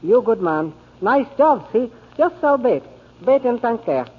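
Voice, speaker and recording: A man's voice: very high-pitched, sing-song, unhurried, husky, heavily acted. An actor playing an eager Chinese father who runs a bait barge, in clipped broken English with a Chinese accent, in 1940s radio style. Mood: eager, bargaining. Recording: muffled, hissy 1940s radio recording.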